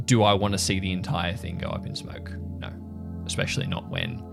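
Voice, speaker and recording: Man acting a bitter, low-voiced, gravelly agent. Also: huge pitch swings, fairly quick, heavily acted. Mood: defensive, weary. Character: bitter, haunted male agent raised by the agency since childhood. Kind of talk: improvised audio drama dialogue. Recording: music under the voice.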